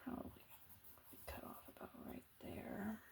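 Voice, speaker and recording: A woman chatting softly as she draws for an ASMR video, thinking aloud with hesitant pauses and often slipping into whispers, close to the mic. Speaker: artist drawing on camera. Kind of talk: soft-spoken ASMR drawing chat.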